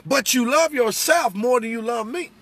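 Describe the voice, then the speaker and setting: A man's voice: very high-pitched, moderate pace, a bit bright, husky. A middle-aged American man preaching off the cuff in conversation, emphatic and repetitive, as if testifying. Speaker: religious speaker. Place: inside a parked vehicle.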